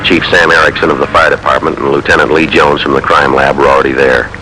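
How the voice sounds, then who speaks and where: Clipped American detective, very low voice, unflappable, matter-of-fact, old radio police drama, noisy recording. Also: male voice, flat delivery, fast talker, pushed and bright. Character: terse, unflappable American police detective sergeant, also narrating. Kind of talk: mid-century police radio drama acting and narration.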